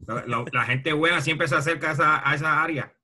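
Bright-voiced Puerto Rican man reminiscing. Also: a little high, fairly quick. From Bayamón, Puerto Rico. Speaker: retired baseball player, interview guest. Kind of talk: casual reminiscing on a video call. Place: video call from a room at home.

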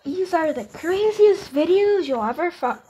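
A woman's voice: very high-pitched, lively intonation, mellow, slight background noise. A young woman rambling casually and hesitantly as an amateur video creator, often correcting herself. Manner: hesitant, halting, self-correcting. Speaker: amateur comic dub creator.

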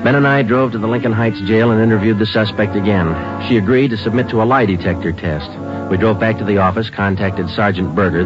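A man's voice: on the low side, close to an everyday voice. An actor playing a terse police detective who narrates his case in a clipped, matter-of-fact way, on a dull old radio recording. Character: terse male police detective, middle-aged. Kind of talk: 1950s radio drama narration.

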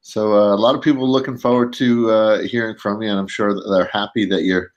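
Male co-host, relaxed and supportive, calling in from afar. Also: on the low side, fairly quick, muffled recording. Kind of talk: remote call-in chat.

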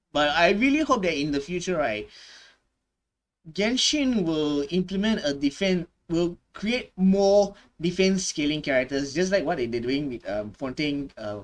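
A young man giving casual, off-the-cuff gaming commentary, speaking haltingly and repeating himself. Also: fairly high pitch. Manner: halting, repetitive, often tagging phrases with "right". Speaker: gaming reaction video host. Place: small indoor room.

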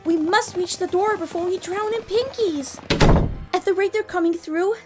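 A woman's voice: extremely high pitch, normal speed, heavy background noise. A woman voicing an earnest, bookish young female magical pony in a cartoon comic dub, speaking precisely and urgently.

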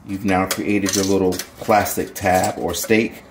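Low-voiced man demoing in a kitchen. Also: unhurried, noisy background. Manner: relaxed, chatty, jokey asides. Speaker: gardening channel host. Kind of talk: casual how-to demonstration. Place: home kitchen, indoors.

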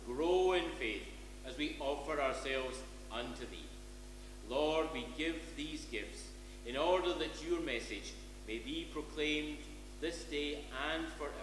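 A man's voice: a little high, a little slow, a bit bright. A man speaking as a minister, praying in a solemn, declaiming, formal liturgical style in a large church. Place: large church, behind communion table. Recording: echoey church hall, hum and noise.

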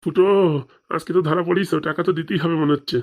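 A man's voice: fairly high pitch, steady tone, quick pace, lightly acted. A man voicing a fretful village elder brother in a comic cartoon, fussing and grumbling as he hurries everyone along. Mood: worried, impatient. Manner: fussy, grumbling, hurrying.

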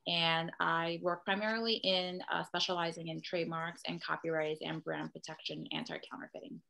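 A woman's voice: fairly low pitch, level tone, normal speed, gravelly, bright, forceful tone, poor audio. A young woman lawyer from New York City introducing herself carefully on a video call panel.

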